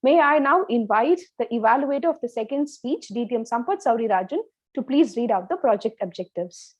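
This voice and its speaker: A woman's voice: on the high side, lively intonation, brisk. A woman, politely introducing speakers as meeting host over a video call. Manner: polite, ceremonial.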